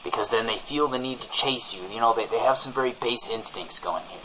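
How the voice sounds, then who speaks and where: A man's voice: mid-range voice, fairly quick, bright, forceful tone, a little hiss or hum, natural voice, low-quality recording. A man playing a breezy, overzealous small-town radio co-host, chatting in a glib, mock-earnest way on a comic audio show.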